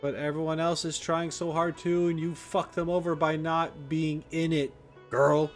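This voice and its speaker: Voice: mid-range voice, flat delivery, moderate pace, music in the background. A streamer giving casual, reactive game commentary in a blunt, sarcastic way, swearing and talking back at the characters. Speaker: gaming streamer.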